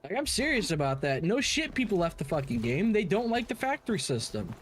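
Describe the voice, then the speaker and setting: A man's voice: high-pitched, very animated, fairly quick. A man streaming games, chatting casually in slang, blunt and repetitive with stammered restarts. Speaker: game streamer. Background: game music.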